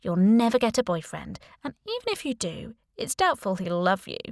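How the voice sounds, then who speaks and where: A woman's voice: mid-range voice, sing-song, normal speed, no room sound. Casting: woman playing a teen girl's inner devil for an audiobook, bored drawl, cutting sarcasm, dry close sound. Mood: mocking.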